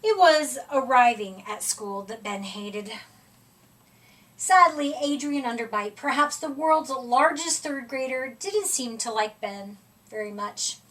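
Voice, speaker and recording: A woman reading a children's picture book aloud expressively, with deliberate dramatic emphasis and stretched-out words. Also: high-pitched, animated, a bit bright, recorded from a distance, rough recording. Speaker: storybook reader.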